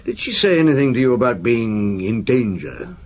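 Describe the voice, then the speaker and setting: An actor playing a patient, probing senior police chief inspector in a radio drama, with a terse, authoritative, questioning manner. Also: male voice, medium pitch, big pitch swings, moderate pace. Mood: probing, stern.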